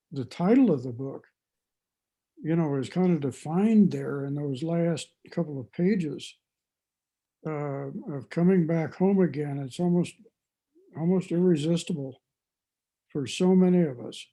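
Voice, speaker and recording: A man's voice: a little high, lively intonation, unhurried, long pauses, warm tone, poor audio. A man sharing his reflections in a book discussion, searching for words as he goes. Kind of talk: reflective opinion in group discussion.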